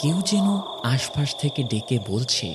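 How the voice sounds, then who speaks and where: A man narrating a horror story from a script, dramatically, in short phrases with pauses. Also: mid-range voice, expressive, very noisy.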